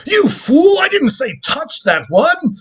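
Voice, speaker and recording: A man's voice: very high-pitched, wildly swooping pitch, slow, fairly bright tone, exaggerated voice. A man playing a crotchety, proud old grandfather and time-travelling inventor in a live radio drama reading, with an imperious, lecturing manner. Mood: alarmed, angry. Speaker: lead actor in a live script reading.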